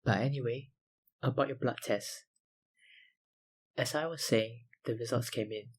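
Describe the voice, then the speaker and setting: A slow, husky vampire researcher, acted by a man for an ASMR roleplay. He is smooth-talking and obsessive, with menacing politeness. His breathy, deliberate words sit at a medium pitch and often sink toward a whisper. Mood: calm, reassuring. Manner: slow, deliberate, politely insinuating, with sighs and pauses. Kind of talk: intimate ASMR roleplay monologue.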